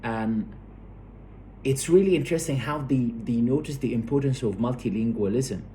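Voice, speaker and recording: A man giving an explanatory academic lecture, halting and repeating words as he goes, often checking that listeners follow. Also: medium pitch, warm tone, a little hiss or hum.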